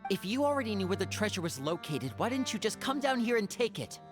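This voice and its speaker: A calm young woman companion in a video game, voiced by a woman, asking level questions. Her voice is mid-pitched. Faint music plays behind. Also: quick pace, close to an everyday voice. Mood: questioning.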